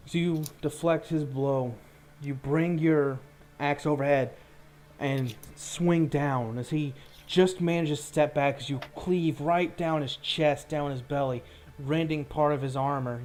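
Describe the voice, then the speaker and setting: A man running a tabletop roleplaying game as game master, improvising measured, descriptive combat narration between rules calls. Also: fairly high pitch, steady tone, a little mellow.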